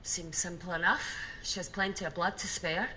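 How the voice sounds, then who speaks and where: A woman's voice: lowish voice, fairly even intonation, normal speed, bright, forceful tone, slight background noise, rough recording. A woman playing a cold, ruthless corporate boss in a naturalistic screen drama. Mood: cool, commanding.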